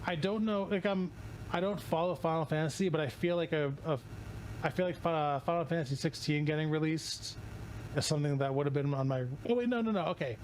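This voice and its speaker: A hoarse man streaming casually while opening card packs, rambling, swearing and often restarting his sentences. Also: a little high, a little fast, slight background noise, poor audio.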